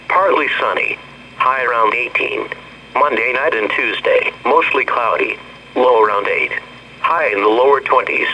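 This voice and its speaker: A very bright, hard-edged text-to-speech man's voice with an American accent reads a weather bulletin in clipped, formal phrases. It comes over a weather radio, muffled with a faint layer of noise. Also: medium pitch. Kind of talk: automated scripted weather forecast reading. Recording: dull, noisy weather radio broadcast.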